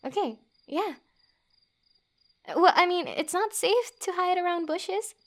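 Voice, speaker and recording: A woman acting a playful, guarded young forager in a fantasy ASMR roleplay, with a teasing, halting delivery. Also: very high voice, expressive, fairly bright tone, heavily acted. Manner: teasing, halting, breathy little laughs.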